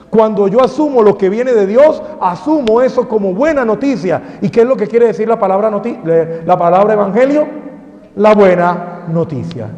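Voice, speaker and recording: Male priest, lively preaching, higher voice with a mellow tone, dull lo-fi recording in a reverberant hall. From Colombia. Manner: animated preaching with rhetorical questions. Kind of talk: sermon. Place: parish hall.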